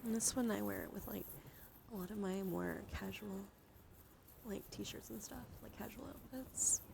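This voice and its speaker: Creaky, soft-spoken woman doing ASMR. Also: mid-range voice, unhurried, a little hiss or hum. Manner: soothing, drifting between whispers and soft speech; affectionate toward listeners. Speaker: live streamer hosting ASMR. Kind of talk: ASMR, soft intimate chat with live viewers.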